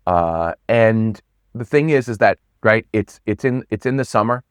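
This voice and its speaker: A middle-aged man, a pitch coach, talking casually in an interview, animated, often restarting and repeating words. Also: unhurried, smooth, dry recording. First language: not Swedish; English speaker.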